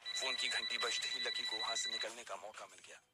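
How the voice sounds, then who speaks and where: A man's voice: on the low side, fairly even intonation, a little fast, loud music behind the voice. A man narrating an audio story in a dramatic storytelling style.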